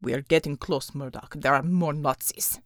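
A woman voicing weary, gruff male soldiers in battle, speaking tersely in an audiobook. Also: sits low, normal speed, dry recording, clean studio sound, voice pushed down, close to an everyday voice. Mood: grim, tense.

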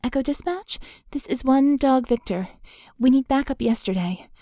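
An anxious young heroine, acted by a woman narrator, pitched up in a dry audiobook recording. She sounds tense and urgent, with clipped phrasing. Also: normal speed, dark tone, dry recording.

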